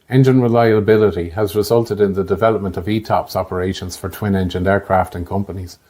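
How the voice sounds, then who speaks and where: Low-voiced Irish man narrating. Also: a little mellow. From Ireland. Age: late 50s. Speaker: podcast host, air traffic controller. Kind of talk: scripted narration.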